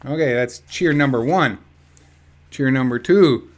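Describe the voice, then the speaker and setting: A man's voice: medium pitch, a little slow, faint music behind. A man reading a book aloud in an informal, chatty way with casual asides. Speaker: reader of an activist book.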